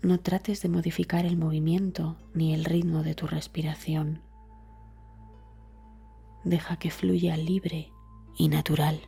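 Soft, breathy woman guiding meditation. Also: low voice, soft background music. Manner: slow, calm, deliberate phrasing with soft trailing words. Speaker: meditation guide. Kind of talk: scripted guided meditation narration.

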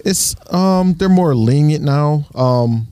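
A police officer from Ohio, with an Ohio accent, answering interview questions matter-of-factly with many pauses. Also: male voice, on the high side, expressive, dark tone, clean tone, no echo. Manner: hesitant, lots of ums, matter-of-fact.

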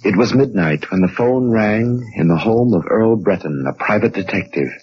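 A man's voice: lowish voice, moderate pace, slight background noise. A man with an American accent delivering scripted narration for a 1940s radio mystery. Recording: muffled 1940s radio recording.

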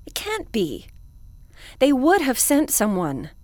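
A woman's voice: high voice, wildly swooping pitch, slow pace, darkish tone, dry recording, exaggerated voice. A woman playing a weary, stranded spaceship commander in an audio drama, earnest and dignified. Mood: distraught.

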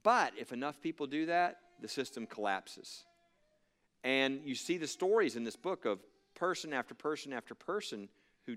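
A man's voice: mid-range voice, a bit bright. A man from a religious freedom legal group giving an earnest, emphatic speech to an audience, with frequent fillers.

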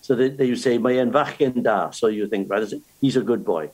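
Husky-voiced older man. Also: mid-range voice, fairly quick, darkish tone. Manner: storytelling, with restarts. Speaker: guest. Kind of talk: casual chat.

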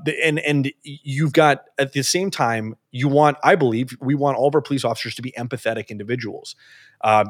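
Fast-talking earnest man, dry sound. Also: mid-range voice, dry recording. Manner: quick, earnest explaining with many restarts. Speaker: interview guest. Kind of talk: conversational interview answer.

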